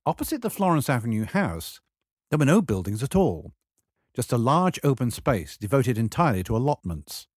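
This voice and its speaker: A man reading a scripted memoir as a wry, measured storyteller. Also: medium pitch, very animated, a little mellow, slightly rough. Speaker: memoir reader.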